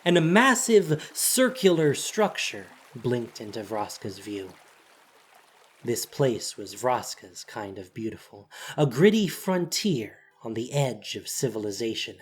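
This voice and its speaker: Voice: mid-range voice, expressive, measured pace, breathy edge. A narrator reading a scripted, dramatic story for an audio drama.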